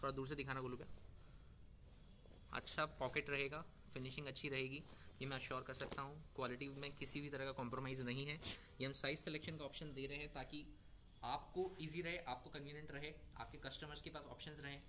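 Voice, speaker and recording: A man's voice: medium pitch, flat delivery, quick pace, noisy background. A young man presenting garment stock to retail buyers in a persuasive, reassuring sales patter, recorded in a clothing warehouse. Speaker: wholesale seller presenting stock. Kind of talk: product showcase pitch to retailers.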